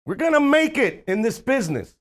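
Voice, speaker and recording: A man's voice: very high-pitched, big pitch swings, brisk. A man playing a pushy, pleading film producer worried about money, in a comedy table read. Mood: coaxing.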